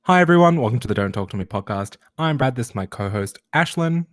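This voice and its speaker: A man co-hosts a casual podcast, trading teasing, crude jokes with his friends. His voice sits at a middling pitch, recorded close and dry. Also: animated, fast talker, no room sound. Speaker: podcast co-host. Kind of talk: casual chat.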